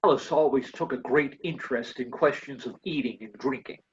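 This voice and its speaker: A woman's voice: very deep voice, fairly quick. A woman reading scripted narration for a readers' theater storybook piece, over dull lo-fi audio.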